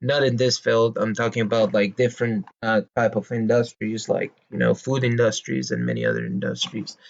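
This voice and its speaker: A man with a Persian accent explains a stock's fundamentals in English, his second language, at a medium pitch. He talks casually and hesitantly, filling gaps with uh and you know and restarting phrases. The recording sounds dull and lo-fi. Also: expressive, normal speed, vocal fry. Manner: hesitant, many fillers and restarts. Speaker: investing video host. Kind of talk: casual stock analysis talk.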